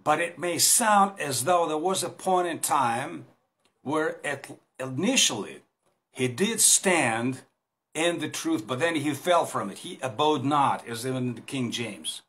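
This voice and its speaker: A man's voice: on the high side, lively intonation, slow, fairly bright tone. A man in his fifties with a Russian accent, a native Russian speaker, giving an informal Bible talk to a phone camera in a small room, hesitant with many fillers and restarts. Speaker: Bible teacher. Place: small indoor room against a plain wall. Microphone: phone camera at arm's length.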